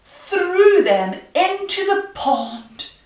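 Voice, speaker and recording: Distant roomy recording, woman, children's storytelling, unhurried, very expressive intonation, dramatic emphasis. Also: extremely high pitch. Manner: dramatic emphasis, drawn-out key phrases. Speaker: storyteller reading a picture book to children. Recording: roomy echo, distant microphone.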